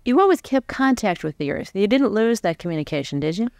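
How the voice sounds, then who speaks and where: Clear-voiced American woman interviewer. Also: mid-range voice, sing-song, fast talker, darkish tone, no echo, studio quality. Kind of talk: conversational interviewing. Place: seated on a stage before a live audience. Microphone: large microphone on stand close to mouth.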